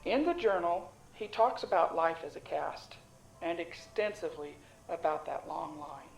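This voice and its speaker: A woman plays a warm, shrewd caravan cook in an audio drama. Her pitch swings freely as she talks in a folksy, knowing way, in an echoey space with music behind. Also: lively intonation, moderate pace, vocal fry. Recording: roomy echo, music underneath.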